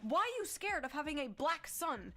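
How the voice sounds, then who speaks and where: A woman's voice: high voice, very animated, moderate pace, sharp tone. A woman giving sarcastic, incredulous reaction commentary as an online video commentator. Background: music.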